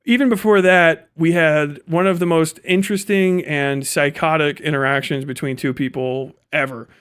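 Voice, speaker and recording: A man's voice: a little high, fairly even intonation, moderate pace, a bit bright, clear, resonant tone. A man giving casual, opinionated livestream commentary in a blunt, profane, conversational way. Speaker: streamer and commentator.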